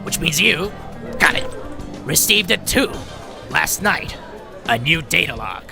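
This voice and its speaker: Robot doctor, male actor: grumpy and worn, insistent, creaky voice with animated pitch, audio drama. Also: a little slow, over music, heavily acted. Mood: dry, insistent. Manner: exasperated, grave, insistent.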